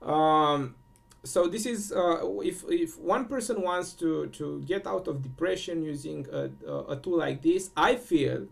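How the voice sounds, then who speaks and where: Male host, Romanian accent, slightly high voice with gravelly fry, hesitant delivery full of fillers. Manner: halting, many fillers and restarts. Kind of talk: casual spiritual talk.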